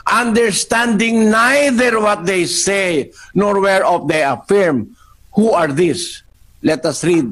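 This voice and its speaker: Filipino man preaching, higher voice, slow and emphatic, repeats key phrases. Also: a bit bright. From Philippines. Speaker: preacher answering a question. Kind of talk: Bible Q&A sermon.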